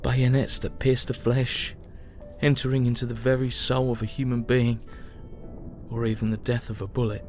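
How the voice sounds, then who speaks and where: An actor playing a weary, grieving young British soldier, narrating his war journal in a solemn, measured, mournful way. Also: medium pitch, a little mellow, natural voice. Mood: anguished. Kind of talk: dramatic first-person journal narration. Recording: music behind the voice. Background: music.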